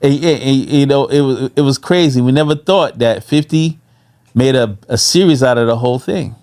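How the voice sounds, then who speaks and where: A man's voice: mid-range voice, normal speed, darkish tone. A man chatting as a podcast co-host, often repeating words as he searches for his phrasing. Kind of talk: casual podcast conversation.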